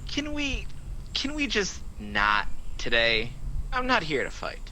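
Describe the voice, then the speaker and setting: A man's voice: high-pitched, huge pitch swings, slow, sharp tone, full character voice. A man playing a sly, mocking, boastful fallen angel in a radio play, sardonic and theatrical, on a noisy home recording. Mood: bored, mocking. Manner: smooth-talking, sardonic, theatrical.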